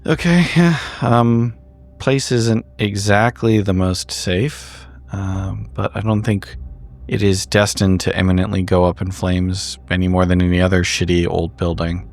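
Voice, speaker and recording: A man's voice: low voice, fairly even intonation, unhurried, gravelly. A man playing a tabletop roleplaying game, talking in character in a dry, understated, hesitant way.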